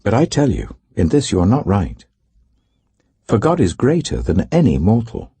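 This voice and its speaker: Indignant young biblical speaker, acted by a male narrator, emphatic and oratorical, gravelly, dramatically varied in pitch. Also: huge pitch swings, normal speed, mellow, dry recording, full character voice. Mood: urgent, commanding. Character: earnest, indignant young man rebuking his elders.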